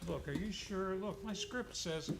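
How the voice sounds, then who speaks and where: Male series host for live old-time radio hour. Higher-set voice, scripted, theatrical, playful. Noisy theater recording. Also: measured pace, vocal fry. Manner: theatrical announcing, playfully comic. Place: theater stage before a live audience.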